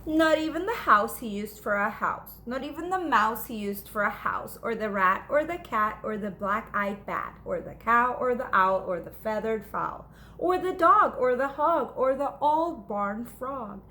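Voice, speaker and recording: A woman teacher reading a children's story aloud in an expressive, rhythmic, dramatic delivery. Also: a little high, a little slow, a little hiss or hum. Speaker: teacher reading to second graders.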